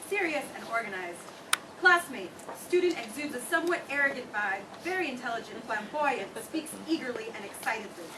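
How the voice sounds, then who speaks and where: A woman reading out stage directions as a plain narrator at a live play reading. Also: high-pitched. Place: read before a live audience, far camera. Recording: very noisy, distant room recording.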